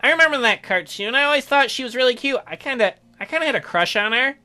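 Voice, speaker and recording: A man's voice: very high voice, lively intonation, quick pace, bright, forceful tone, voice pushed up, full character voice. A man voicing an awkward, skeptical young male game protagonist who teases and stammers. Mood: flustered. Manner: hesitant stammering mixed with glib mockery. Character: awkward, skeptical, teasing teenage guy protagonist.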